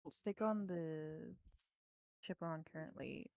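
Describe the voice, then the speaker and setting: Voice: medium pitch, measured pace, gravelly, darkish tone, no room sound. A player in a tabletop roleplaying game, casually talking through plans with the group.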